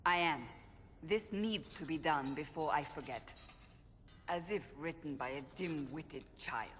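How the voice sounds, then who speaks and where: Devout game warrior woman, stern, formal, somewhat low pitch, reverberant, slight noise. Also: unhurried, a bit bright. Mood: self-critical, intent. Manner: formal, earnest. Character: earnest, stern, devout female warrior, doubting herself. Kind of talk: video game dramatic acting. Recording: long reverb, background noise.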